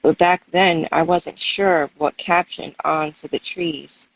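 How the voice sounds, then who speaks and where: Husky, creaky-voiced woman, dull lo-fi sound. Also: deep voice, steady tone, a little slow, no room sound. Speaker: poet and guest reader. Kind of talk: spoken-word poetry reading and casual chat. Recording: dull, lo-fi audio.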